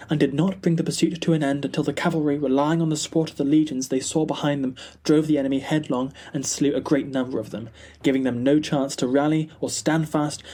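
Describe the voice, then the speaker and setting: A young man giving a formal, deliberate dramatic reading of a historical war narrative. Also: fairly high pitch, mellow, husky. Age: young adult. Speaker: student reader.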